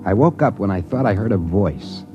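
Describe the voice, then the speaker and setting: A man's voice: fairly low pitch, animated, normal speed, lightly acted. An actor playing a broke man in a cheap hotel, narrating and talking with wry, flustered asides in a 1950s radio drama. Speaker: narrator and lead character. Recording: dull old radio recording with music.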